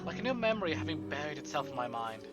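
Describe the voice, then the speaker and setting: A fairly high-pitched man reads a visual novel aloud for a stream. His narration is theatrical and expressive, with clear game music playing behind him. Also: quick pace. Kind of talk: dramatic first-person story narration with asides.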